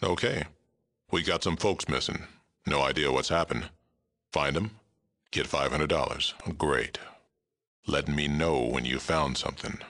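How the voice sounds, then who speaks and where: Game role: old super mutant lawman, extremely low and forceful, terse, weary, fair. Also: unhurried, pauses often, full character voice. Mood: businesslike, gruff. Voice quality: gravelly, rumbling. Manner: blunt, terse, dry delivery. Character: gruff, aging super mutant sheriff, world-weary but fair-minded. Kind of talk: video game character dialogue.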